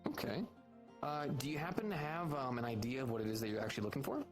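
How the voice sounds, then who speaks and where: A man's voice: medium pitch, sing-song, fast. A man roleplaying a knowledgeable insect keeper at a fantasy hunters' guild, explaining patiently and informatively in an improvised style. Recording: faint music behind the voice. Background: faint music.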